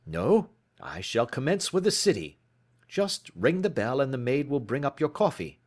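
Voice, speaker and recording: A man acts out a proud, intense gentleman detective from a classic storybook. The voice sits well above a low narrating register and swings widely in pitch. The delivery is emphatic, deliberate and theatrical. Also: big pitch swings. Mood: brisk, purposeful. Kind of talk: audiobook character voice.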